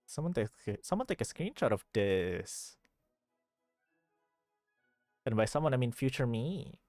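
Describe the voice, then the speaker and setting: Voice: mid-range voice, big pitch swings, moderate pace, a little mellow, no room sound, faint music behind. A young adult streamer giving casual, joking and reactive commentary over a video game, recorded on a close mic. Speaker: live streamer.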